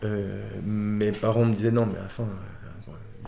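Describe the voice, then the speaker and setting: A man's voice: fairly low pitch, flat delivery, a little slow, vocal fry, dark tone, slight background noise. A man from Belgium talking casually as an interview guest, telling personal stories in a candid, informal, slangy way. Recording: dull, roomy echoing sound.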